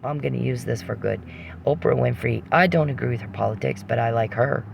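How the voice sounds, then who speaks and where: A man with a heavily creaky, gravelly voice speaks in an unscripted personal monologue. He is emphatic and circles back over his words, breaking off and restarting, with blunt swearing. There is faint noise behind him. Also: mid-range voice, brisk.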